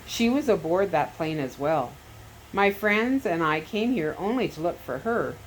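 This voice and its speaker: A woman voicing a firm, steady male doctor and father, speaking in a measured, earnest way. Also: lowish voice, a little hiss or hum, rough recording.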